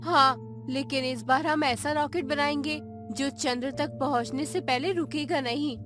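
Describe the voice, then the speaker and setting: A woman's voice: high-pitched, a little slow, over music. A woman playing a bold, determined woman scientist in a dramatized space adventure story. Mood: resolute. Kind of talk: dramatized Hindi audio story dialogue.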